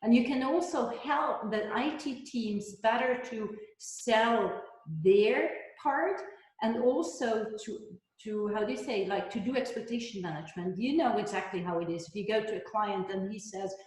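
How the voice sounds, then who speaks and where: A woman's voice: a little high, normal speed. A middle-aged woman with a Hebrew mother tongue talks as an interview guest. She stops to correct herself now and then and adds dry little jokes. Her voice rings in a strongly echoing room. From Switzerland. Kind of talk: casual interview talk. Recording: very echoey room.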